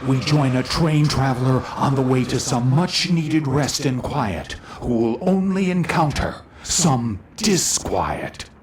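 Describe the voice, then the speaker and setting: A man announcing an audio drama with a slow, ominous delivery. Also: mid-range voice, animated, a little hiss or hum. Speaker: show announcer. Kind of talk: scripted show introduction.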